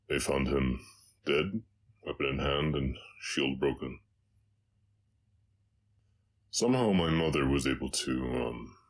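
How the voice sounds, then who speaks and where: Male actor for haunted, soft-hearted orc cowboy, audio drama. Rumbling bass, unhurried and hesitant, slight Southern US accent. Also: full character voice. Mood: wistful. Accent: slight Southern American. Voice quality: very deep. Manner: halting, hesitant, reflective. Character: gruff, gentle orc cowboy, haunted by his past. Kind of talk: slow intimate audio roleplay monologue.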